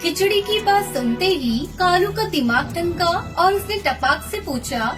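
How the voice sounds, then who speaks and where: Female narrator, very high voice, scripted children's fable read over background music. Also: lively intonation, unhurried.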